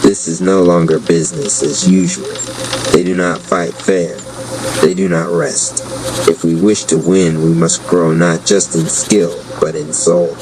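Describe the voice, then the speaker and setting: A man narrating as a grave spiritual counselor in an audio drama. The voice is calm and solemn, very low and slow, with clear noise in the recording. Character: calm, grave spiritual adviser of a rap tribe.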